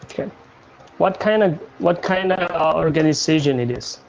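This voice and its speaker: A young man from Indonesia hosting a casual livestream chat, talking in a halting way with many fillers and restarts. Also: fairly high pitch, animated, creaky, rough recording. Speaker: livestream host.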